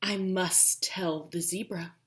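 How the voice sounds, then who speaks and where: A woman voicing an eager female porcupine in a slow, secretive, breathy whisper for a children's storybook. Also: lowish voice, steady tone, a bit bright. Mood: hushed anticipation. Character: hushed, eager female porcupine sensing rain.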